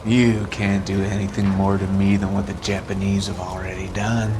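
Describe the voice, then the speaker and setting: An actor playing a bitter, scarred war veteran living as a drifter, sullen and deadpan. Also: male voice, low voice, normal speed, heavy background noise, close to an everyday voice. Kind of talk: video game cutscene acting. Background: music score.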